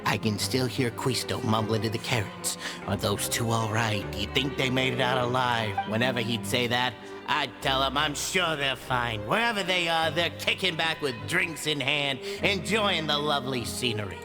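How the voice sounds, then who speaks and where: An actor voicing an easygoing, chummy male prison inmate in a video game, with a relaxed manner. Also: mid-range voice, normal speed, natural voice. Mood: chatty. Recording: game music playing behind.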